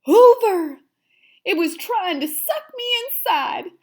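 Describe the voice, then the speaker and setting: A woman voicing an excitable, dramatic little hamster telling his diary in an expressive children's read-aloud, with theatrical emphasis and hushed asides. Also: very high-pitched, slow, voice pushed up, heavily acted. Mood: fearful, suspenseful.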